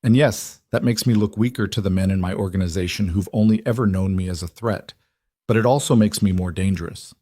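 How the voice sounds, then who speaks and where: A man voicing a powerful, protective mafia boss in audiobook dialogue, measured and grave. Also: sits low, dark tone, dry recording, clean studio sound. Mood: intense, possessive.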